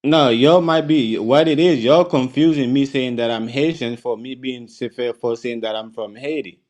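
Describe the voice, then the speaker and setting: A man from the Virgin Islands with an island accent, speaking as a guest in a casual live-stream chat, repeating himself defensively with many halting restarts. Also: mid-range voice, darkish tone, dull audio. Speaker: panel guest.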